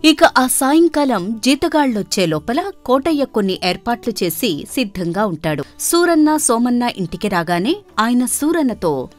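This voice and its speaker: A woman's voice: a little high, sing-song, moderate pace, faint music behind. A woman storyteller reading a scripted moral tale as narrator.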